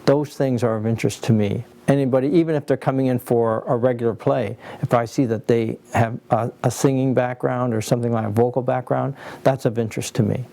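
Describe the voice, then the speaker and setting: Gravelly male interviewee, dark tone, matter-of-fact. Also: mid-range voice, steady tone, fairly quick, hardly any pauses, dry recording. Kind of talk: documentary interview.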